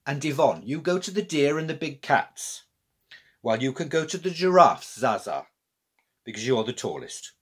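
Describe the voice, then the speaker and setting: A brisk French granny from a storybook, acted out by a man, takes charge with kindly bossiness. The voice is slightly husky and swings widely up and down in pitch. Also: very animated. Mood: bossy. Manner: commanding, decisive.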